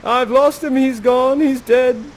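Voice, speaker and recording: A man's voice: very high-pitched, fairly even intonation, unhurried, pitched above the performer's own voice. A man playing a grief-stricken king who calls out in anguish for his lost son. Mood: grieving. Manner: wailing, anguished.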